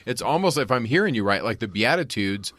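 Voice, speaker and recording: A man's voice: medium pitch, sing-song, brisk, fairly bright tone, no echo. A man hosting a podcast, talking in a curious, conversational way and thinking aloud with his guest. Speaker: podcast host.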